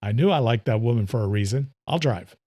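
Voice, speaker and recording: A man's voice: mid-range voice, expressive, brisk, warm tone, no room sound, studio quality, close to an everyday voice. A man voicing a fatherly veteran policeman, hearty, proud and firm, in an audio thriller. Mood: amused.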